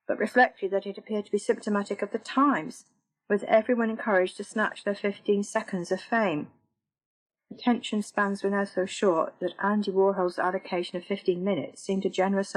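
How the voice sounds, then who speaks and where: A woman narrating her own book as an audiobook. Her reading is measured and even, and her pitch sits at a middling height for a woman. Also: low-quality recording.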